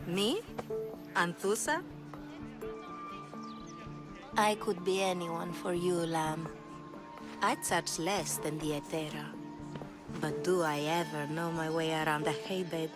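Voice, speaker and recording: A woman's voice: low-pitched, unhurried, music in the background. A woman playing a worldly, flirtatious streetwalker in a video game, sly and teasing.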